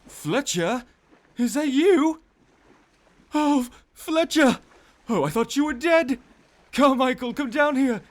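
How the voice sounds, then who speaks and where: An excitable ship's crewman, played by a man in an audio adventure. The voice is notably high for a male. He speaks eagerly, with a concerned, exclamatory manner. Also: takes it slowly, pauses often, heavily acted. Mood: excited, astonished. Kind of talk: cinematic audio adventure acting.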